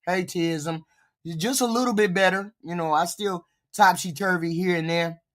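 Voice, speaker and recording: Profane streamer heckling, voice deepened by a cold. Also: moderate pace, fairly bright tone. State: voice deepened by a cold, short of breath. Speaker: political commentary streamer. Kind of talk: live reaction commentary.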